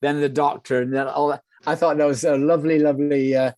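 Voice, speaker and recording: A man's voice: on the high side, little pitch movement, fast talker, darkish tone, dry recording, clean studio sound. A man giving casual, slightly hesitant feedback on a video call, repeating himself as he goes.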